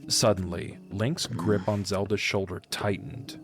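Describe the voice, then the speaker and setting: A man's voice: lowish voice, fairly even intonation, unhurried, music in the background. A man narrating a fantasy audio drama in a scripted storybook style.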